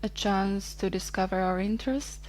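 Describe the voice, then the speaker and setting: A woman's voice: medium pitch, moderate pace, a little mellow, slight background noise. Easygoing woman in her twenties. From Ethiopia. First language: Amharic. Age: 20s. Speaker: podcast guest. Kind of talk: casual interview chat.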